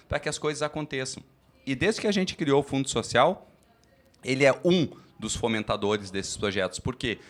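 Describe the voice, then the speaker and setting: Man explaining credit cooperative work. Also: fairly high pitch. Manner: steady, explanatory, formal. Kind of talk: live fair studio interview.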